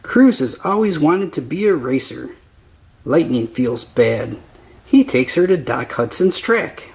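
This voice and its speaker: A man reading a children's picture book aloud as narrator, with simple, deliberate phrasing for young listeners. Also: medium pitch, big pitch swings, vocal fry. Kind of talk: slow scripted children's story narration.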